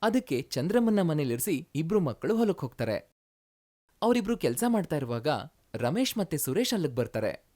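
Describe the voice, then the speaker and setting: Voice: medium pitch, very animated, fairly quick, a little mellow. A storyteller reading scripted narration for a moral tale.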